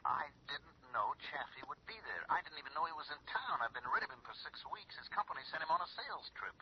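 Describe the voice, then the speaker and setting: Apologetic young man, hurried animated speech, earnest pleading, telephone voice, radio drama, noisy recording. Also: on the high side, muffled recording. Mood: apologetic, coaxing. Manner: urgent, earnest.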